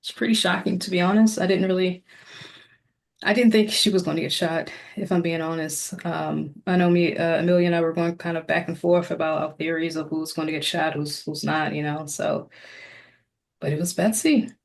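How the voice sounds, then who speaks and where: Lady podcaster, kinda low gravelly voice, just chatting casually. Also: little pitch movement, normal speed. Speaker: podcast co-host. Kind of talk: casual chat.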